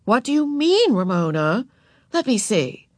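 A woman voicing a firm, fair-minded mother in a storybook, with a measured, admonishing manner. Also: fairly low pitch, very animated, no room sound, studio quality. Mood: alarmed, scolding.

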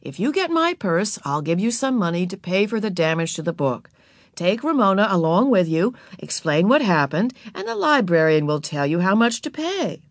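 A firm but fair storybook mother, as a woman narrator plays her. Her mid-pitched voice is measured and admonishing, with expressive intonation in a dry recording. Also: no echo. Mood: reassuring, firm. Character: firm, fair-minded mother of two girls.

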